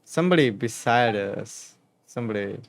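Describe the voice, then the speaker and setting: A man's voice: lowish voice, expressive, moderate pace, vocal fry. A man streaming a story game, joking, swearing and talking back at the characters as he plays. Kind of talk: gameplay commentary.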